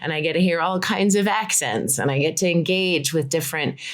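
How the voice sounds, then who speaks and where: A woman's voice: sits low, a little fast. A woman from Colorado, a physical therapist, explaining her work enthusiastically in a conversational interview over a video call. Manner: enthusiastic, explanatory, uses "like" often.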